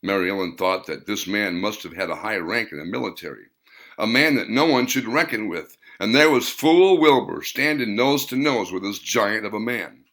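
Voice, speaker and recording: A man reading his own novel aloud in a measured, deliberate storytelling manner. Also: on the low side, lively intonation, a bit bright. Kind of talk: audiobook narration.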